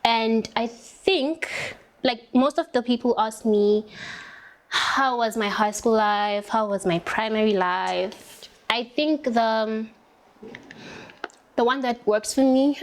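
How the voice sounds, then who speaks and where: A young woman from South Africa with a South African accent, chatting casually while doing her makeup, full of "like" fillers and drawn-out words. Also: a little high, a little hiss or hum. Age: young adult.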